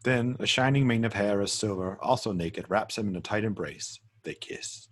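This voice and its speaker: Man, fairly low pitch, husky edge, measured scripted narration, reading stage directions. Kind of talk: scripted narration at a table read.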